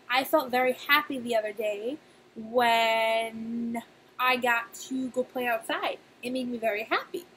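Young woman, high voice, nursery teacher read-aloud, expressive child-directed delivery, emphatic pauses, noisy room recording. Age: young adult. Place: small indoor room. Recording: clear background noise, webcam-style room recording.

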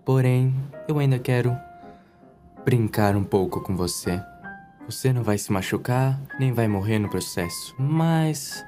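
A man's voice: mid-range voice, a little slow, warm tone, natural voice. A man voicing a paranoid, sassy, vengeful young man in a comic anime parody dub, theatrical, with a Brazilian accent. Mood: smug, spiteful. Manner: theatrical, exaggerated. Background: music.

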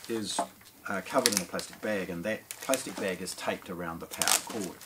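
A middle-aged man with a New Zealand accent casually presenting an unboxing, in a hesitant, improvised way with frequent pauses. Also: low-pitched. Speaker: product presenter at an audio shop. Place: small indoor showroom with shelves and speakers. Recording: clear background noise.

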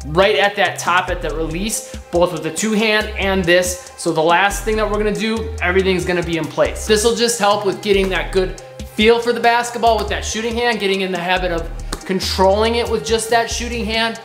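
A man's voice: high voice, a little fast, a bit bright. A man in his thirties coaching basketball drills while seated on a couch in a living room, upbeat and demonstrating as he talks. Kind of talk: instructional drill demo. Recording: echoey room with faint music.

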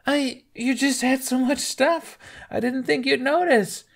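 A man's voice: very high-pitched, a little slow, creaky, pitched above the performer's own voice, full character voice. A man voicing a feisty young woman, a Viking thief who is defiant yet pleading, in a storybook reading. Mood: flustered.

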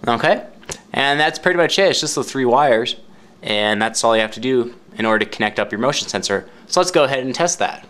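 A man's voice: medium pitch, expressive, brisk, a bit bright. A man narrating a step-by-step product tutorial in an informal, explanatory way, repeating key points for clarity. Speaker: product demonstrator.

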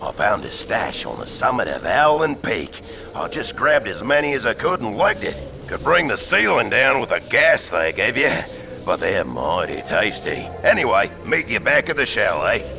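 A man's voice: fairly low pitch, big pitch swings, normal speed, vocal fry. An actor playing a jovial, boastful rugged outdoorsman in a video game, talking in a matey, colloquial way. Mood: cheerful, matey. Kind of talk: animated video game acting.

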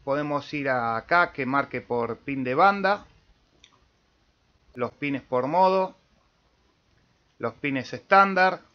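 A man with an Argentine accent giving a casual step-by-step software tutorial as host, with small check-in tags. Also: mid-range voice, slow pace, pauses often. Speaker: amateur radio tutorial host. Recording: dull lo-fi voice-over recording.

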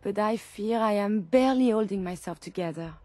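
An actress playing an earnest former robot in a woman's body, with a French accent and formal, precise, halting speech. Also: medium pitch, a little mellow, no echo, lightly acted. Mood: anxious, overwhelmed. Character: earnest former robot, now synth woman, overwhelmed by feelings.

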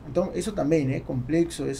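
A middle-aged man, a native Spanish speaker with a South American accent, talking casually and haltingly as an interview guest. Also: fairly high pitch, gravelly, over a noisy crowd. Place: small studio with acoustic foam, indoors. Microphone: podcast microphone on boom arm.

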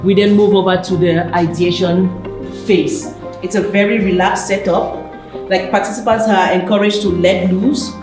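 A young woman from Cameroon, a PhD student walking through university lab rooms and explaining them in a relaxed way with frequent "like" fillers. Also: medium pitch, normal speed. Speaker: PhD student giving a campus tour. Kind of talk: casual guided tour talk. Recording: echoey indoor rooms. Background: background music.

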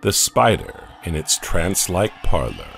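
A man narrating a horror story in a slow, dramatic way. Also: deep voice, huge pitch swings, gravelly, a bit bright, faint music behind.

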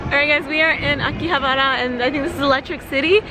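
Young female travel vlogger, high and chatty, recorded outdoors over crowd noise and loud music. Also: fairly even intonation, fast. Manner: chatty, upbeat, filler-heavy with frequent "like". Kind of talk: casual travel vlog narration. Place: outdoors on busy city streets and in markets and shops. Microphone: handheld camera at arm's length.

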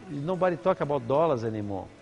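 A man in his forties with a Brazilian accent, a native Portuguese speaker, telling an interviewer about life back home in relaxed, halting English. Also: a little high, creaky, lo-fi sound, faint music behind. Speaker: interviewee, auto shop owner. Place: indoor auto workshop. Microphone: lapel mic.

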